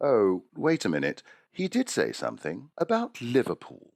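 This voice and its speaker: A man's voice: mid-range voice, huge pitch swings, moderate pace, creaky, darkish tone, no echo, studio quality. A man playing a helpful, hesitant Victorian silversmith in an audio drama.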